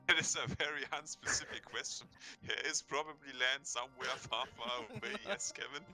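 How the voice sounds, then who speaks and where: A man's voice: mid-range voice, normal speed, over music, low-quality recording. A man running a tabletop game as dungeon master, joking and often restarting his sentences. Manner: joking, self-interrupting.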